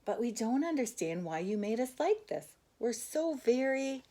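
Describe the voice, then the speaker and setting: A woman reading a storybook as a small, humble male caterpillar, earnest and gentle in manner. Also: a little high, big pitch swings, moderate pace, pitched above the performer's own voice. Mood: earnest, weary.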